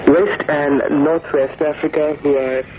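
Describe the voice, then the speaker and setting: Noisy shortwave Indian male announcer, slightly high. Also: level tone, measured pace. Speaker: radio announcer. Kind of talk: formal scripted continuity announcement. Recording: noisy 1980s shortwave radio recording.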